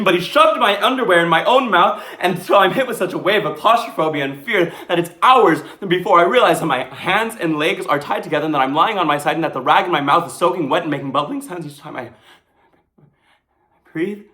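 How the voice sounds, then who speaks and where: A man playing a dazed, terrified young street hustler in a breathless, halting dramatic stage monologue. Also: medium pitch, fairly bright tone, natural voice. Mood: desperate, panicked. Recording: echoey room.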